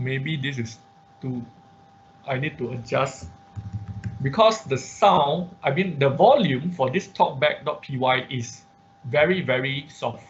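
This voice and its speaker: A man instructing an online class through a live software demonstration, talking haltingly as he thinks aloud. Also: medium pitch. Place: indoors, online class at a computer. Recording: muffled online class audio with background noise.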